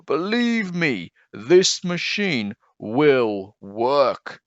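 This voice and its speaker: A man's voice: medium pitch, big pitch swings, very slow, voice pushed up. A man voicing an eager, confident inventor friend in a children's storybook, speaking out emphatically. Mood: excited hollering. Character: Leon, eager tinkering inventor friend, hollering encouragement. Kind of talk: children's storybook read-aloud. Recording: dull, roomy home recording.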